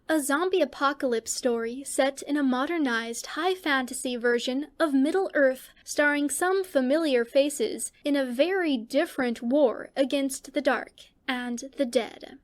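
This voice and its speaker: A woman reading fan fiction aloud as a podfic narrator, measured and deliberate with dramatic pauses. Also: high-pitched.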